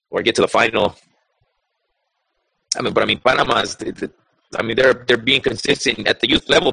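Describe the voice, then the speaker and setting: Super gravelly bright voiced guy, halting soccer talk, dry sound. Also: fairly low pitch, no room sound, low-quality recording. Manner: halting, many restarts and fillers. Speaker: podcast co-host. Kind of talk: casual sports chat.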